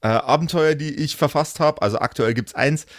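A man's voice: fairly high pitch, moderate pace, dry recording, clean studio sound. A man hosting a podcast in a jokey, self-deprecating, rambling, casual way. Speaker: podcast host and game master. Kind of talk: casual, improvised outro chat.

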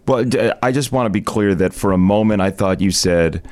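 A man guesting on a comedic podcast spins wry, self-deprecating stories. His voice sits fairly low and sounds dry and close to the mic, with no room around it. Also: moderate pace, darkish tone, dry recording. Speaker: sportscaster guest. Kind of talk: casual comedic chat.

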